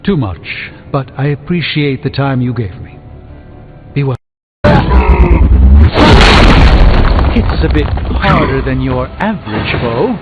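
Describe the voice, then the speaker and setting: An actor playing a middle-aged, scholarly sorcerer superhero in a video game, speaking in an articulate, wry, formal manner. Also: male voice, medium pitch, lively intonation, a little slow, close to an everyday voice. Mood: courteous. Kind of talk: video game character dialogue. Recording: thin phone-like audio, music behind.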